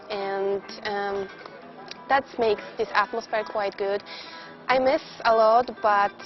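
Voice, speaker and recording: A woman from Poland with a Polish accent, telling about her work in simple, halting phrases in an interview. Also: medium pitch, highs cut off. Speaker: cafe worker. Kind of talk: documentary interview.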